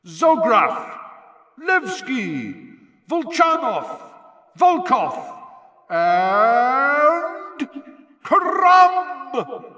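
High, shouting, excitable stadium announcer. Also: male voice, echoey room, long reverb tail, full character voice. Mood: grand, excited. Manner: shouting, drawn-out, showmanlike. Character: booming, excitable male stadium sports announcer. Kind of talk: theatrical live match commentary.

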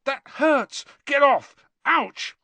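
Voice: medium pitch, wildly swooping pitch, a little slow, breathy edge, dry recording, voice pushed up, heavily acted. A narrator voicing an anxious teenage boy wizard, breathless, strained and exasperated. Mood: pained, panting.

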